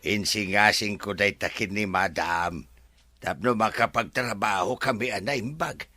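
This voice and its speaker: A man with an Ilocano accent playing a deferential, excitable resort workman in a radio drama, stammering and full of exclamations. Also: on the low side, measured pace, no room sound, soft background music. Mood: explaining.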